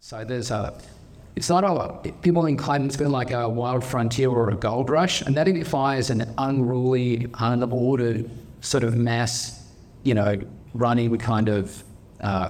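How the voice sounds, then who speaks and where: A man's voice: medium pitch, measured pace, darkish tone. A man speaking on a panel at a live event, opinionated and fond of everyday analogies. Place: conference hall panel. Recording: live event audio, faint noise.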